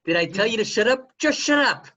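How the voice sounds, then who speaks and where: A man's voice: sits high, animated, brisk, bright, forceful tone, exaggerated voice. A man playing a short-tempered, bullying gangster husband in a crime radio play, snapping confrontationally, on a lo-fi home recording. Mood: angry snapping.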